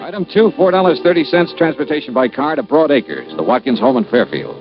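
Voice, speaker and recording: An actor playing a tough, skeptical insurance investigator in a 1950s radio detective drama, with clipped, wry delivery. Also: male voice, on the high side, sing-song, a little fast. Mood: matter-of-fact narrating. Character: wry, tough freelance insurance investigator, narrating his case. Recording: dull old radio recording, music behind.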